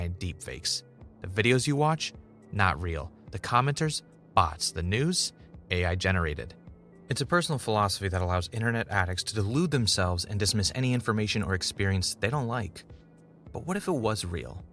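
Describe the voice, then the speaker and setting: A man's voice: low-pitched, a bit bright. A man playing a pessimistic, suspicious gamer, telling his story as introspective, dramatic first-person narration in a fiction podcast. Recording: music under the voice.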